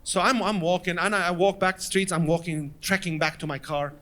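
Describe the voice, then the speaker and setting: High, bright-voiced Lebanese comedian. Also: male voice, brisk. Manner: animated, acts out voices of others in anecdotes. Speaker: stand-up comedian. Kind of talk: stand-up storytelling. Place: stage before a live audience.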